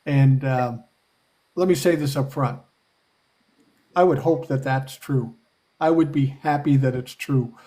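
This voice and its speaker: A man hosts a live call-in podcast and talks through the news in a blunt, casual way. His voice is mellow and dark, sitting in a middle pitch. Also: a little slow, long pauses. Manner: blunt, profane, conversational. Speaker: podcast host. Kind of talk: casual live-stream chat.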